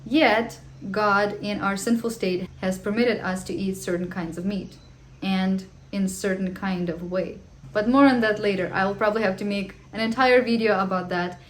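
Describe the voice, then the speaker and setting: A woman in her thirties who grew up in Ukraine speaks English with a Ukrainian accent, Russian being her first language. She talks in a chatty, earnest way with the odd filler, answering viewer questions in a casual vlog. Her pitch sits in the middle of the range. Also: steady tone, normal speed, a little hiss or hum. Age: 30s. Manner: chatty and earnest, with the odd filler and slightly non-native phrasing. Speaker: vlogger. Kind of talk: casual Q&A monologue.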